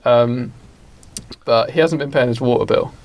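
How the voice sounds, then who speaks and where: A man's voice: medium pitch, normal speed, darkish tone. A man co-hosting a comedy podcast, casually improvising a story and piecing it together aloud with some hesitation. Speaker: podcast co-host.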